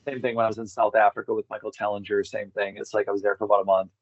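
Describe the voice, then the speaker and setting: Low-voiced man rambling casually, muffled audio. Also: level tone, no echo. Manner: halting, informal, emphatic repetition. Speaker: interview guest, tour organizer.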